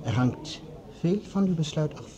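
A man's voice: fairly high pitch, expressive, a crowd in the background, natural voice. An actor playing a composed, authoritative male project leader in an old radio drama, speaking formally and courteously. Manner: formal, measured, courteous speechmaking. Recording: old radio recording with faint noise.

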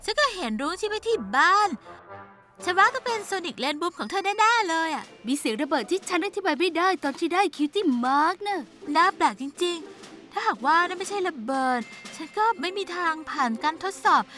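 An actress voicing a gentle, affectionate young pony mare in a Thai cartoon dub. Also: female voice, extremely high pitch, lively intonation, fairly quick, soft background music, heavily acted. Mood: tender.

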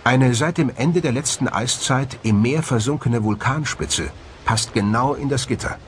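A man narrating a scripted documentary in a measured, explanatory way. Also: medium pitch, a little hiss or hum. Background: music under the voice.

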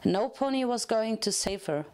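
A woman reading fiction aloud as a narrator, in an expressive, dramatic storytelling manner. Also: on the high side, moderate pace.

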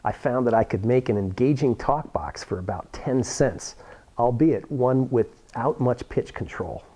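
A middle-aged American man explains a homemade science project like a teacher. His pitch sits in the middle range and moves up and down in a lively way. He is miked at the lapel. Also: unhurried. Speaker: science demonstrator and host. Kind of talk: explanatory how-to presentation. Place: school workshop or lab room, indoors. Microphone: lapel microphone.

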